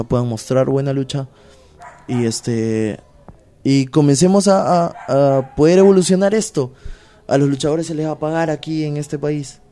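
Peruvian-accented wrestler, mellow-toned, loose slangy chat, filler-heavy. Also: male voice, medium pitch. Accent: Peruvian Spanish. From Peru. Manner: animated, slangy, many fillers. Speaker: pro wrestler guest. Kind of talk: casual interview chat.

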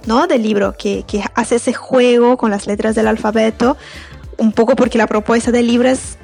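A woman from Brazil with a Brazilian Portuguese accent, an author talking about her writing in a slow, thoughtful way with frequent pauses and fillers. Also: a little high, a little mellow. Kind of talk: interview, self-introduction and reading.

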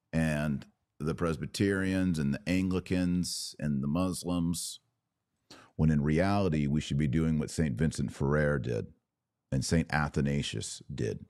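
Deep-voiced American man, emphatic religious commentator. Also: a little mellow, no echo, clean studio sound. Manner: emphatic, preachy, punchy short phrases. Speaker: podcast host taking viewer questions. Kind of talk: impassioned religious commentary, off the cuff.

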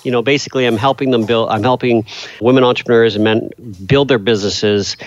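A man's voice: mid-range voice, steady tone, quick pace, no echo. Middle-aged man, dull dry lo-fi sound. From England, raised in Canada. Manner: rambling, many fillers and restarts. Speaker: guest, business coach. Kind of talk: casual interview conversation.

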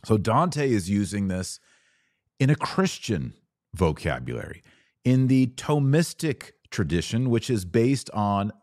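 A man with a low voice gives a religious lecture as a podcast host. He speaks at a very unhurried pace, emphatic and didactic, leaving dramatic pauses between short phrases. The sound is very dry, with no room on it. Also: sing-song, darkish tone, no echo. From Texas.

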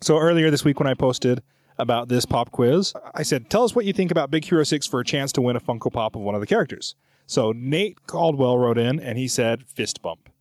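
A man's voice: mid-range voice, expressive, fairly quick, darkish tone. Casual man hosting a podcast. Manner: chatty, rambling, self-correcting. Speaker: podcast host. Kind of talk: casual chat, reading out listener comments.

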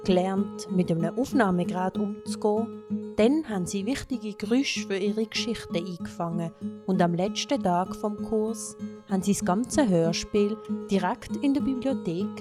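A woman's voice: mid-range voice, moderate pace. A woman reading scripted narration as a radio presenter. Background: plucked guitar music.

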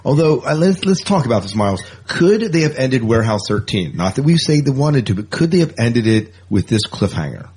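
A man's voice: medium pitch, big pitch swings, brisk, hardly any pauses, a little mellow, husky. A man hosting a casual science fiction news podcast in an enthusiastic, conversational way. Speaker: podcast host. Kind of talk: casual sci-fi news chat.

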